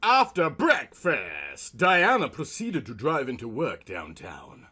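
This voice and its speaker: A man's voice: mid-range voice, wildly swooping pitch, takes it slowly, pushed and bright, slightly rough. A man narrating a scripted comic story in a melodramatic, mock-serious manner.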